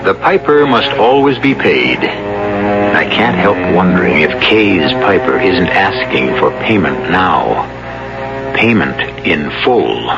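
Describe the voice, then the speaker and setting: A man's voice: low-pitched, sing-song, takes it slowly. A man hosting and narrating a 1970s radio mystery in an ominous, wry, formal manner. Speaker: host and narrator. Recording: muffled old radio recording. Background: music.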